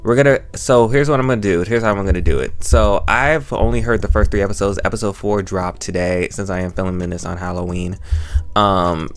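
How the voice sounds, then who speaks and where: Male YouTube reviewer, low register, chatty and self-aware, loops back over phrases playfully, close-miked. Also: fairly quick, soft background music. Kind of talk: casual chatty review to camera. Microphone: microphone held very close to the mouth.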